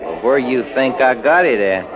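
A man's voice: mid-range voice, animated, moderate pace, crowd noise, lightly acted. An actor playing an eager, girl-chasing American truck driver in old radio drama style, with folksy slangy speech. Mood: eager, coaxing. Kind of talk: 1940s-50s radio drama acting. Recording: noisy vintage radio recording.

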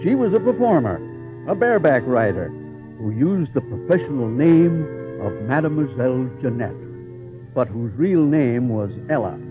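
A man's voice: medium pitch, sing-song, normal speed, close to an everyday voice. A male actor playing a storyteller who narrates an adventure tale in old radio drama style. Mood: narrating. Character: male storyteller recounting circus adventure to a boy. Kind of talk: dramatic narration, 1940s-50s radio acting. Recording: tinny old radio recording with music.

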